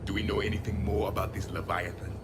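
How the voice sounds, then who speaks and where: A man playing a serious, gravelly-voiced squadmate in a video game. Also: on the low side, little pitch movement, fast, noisy background, lightly acted. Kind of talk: scripted game acting. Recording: loud music bed.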